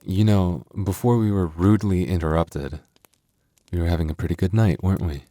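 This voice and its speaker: Deep, close-up playful werewolf boyfriend acted by a man. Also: dark tone, no echo, close to an everyday voice. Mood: playful, coaxing. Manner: conversational, teasing, halting when flustered. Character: playful, devoted young male werewolf boyfriend, soft-hearted and teasing. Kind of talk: intimate boyfriend roleplay ASMR audio.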